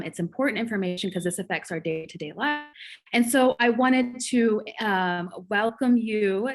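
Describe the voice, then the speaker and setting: Chatty young woman on a video call. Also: medium pitch. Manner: chatty, affirming, frequent fillers and laughs. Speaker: host, cardiac sonographer. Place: home room, indoors.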